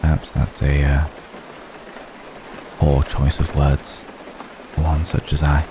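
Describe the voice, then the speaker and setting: A man playing a centuries-old vampire gentleman in an intimate ASMR roleplay, tender, protective and world-weary, speaking formally and gently with long pauses. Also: a bass voice, heavily acted. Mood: soothing. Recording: steady rain noise behind voice. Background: rain falling.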